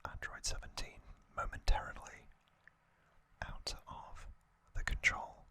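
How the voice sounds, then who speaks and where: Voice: takes it slowly, bright, forceful tone, no echo. An ASMR host whispering a recap in a conversational, slightly hesitant way.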